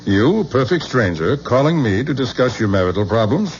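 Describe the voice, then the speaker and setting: A man's voice: medium pitch, big pitch swings, a little fast. An actor playing a stiff, formal male museum official in a 1970s radio drama, haughty and icy. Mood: cold. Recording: dull old radio broadcast with faint hiss.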